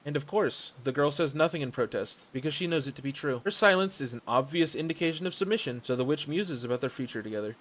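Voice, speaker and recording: A man's voice: on the high side, expressive, fast talker, hardly any pauses, fairly bright tone, slight background noise. A man narrating a story retelling in a scripted style, with dramatic delivery and casual, jokey asides. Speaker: video creator retelling and commenting on a visual novel. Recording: music under the voice. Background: music.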